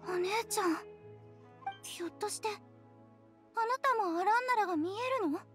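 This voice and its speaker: A woman voicing a timid, wistful little girl in an anime game, with a soft childlike voice and hesitant, innocent delivery. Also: extremely high pitch, measured pace. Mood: wistful, curious. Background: music.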